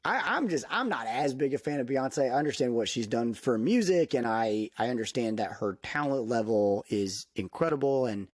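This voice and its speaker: Animated male podcast co-host. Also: medium pitch, dry recording, clean studio sound. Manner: animated, emphatic storyteller, halting restarts. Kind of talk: casual podcast chat.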